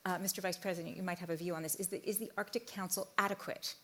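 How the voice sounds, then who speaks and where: A woman moderating a panel discussion on a conference stage, asking measured, probing questions. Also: fairly low pitch, fairly even intonation.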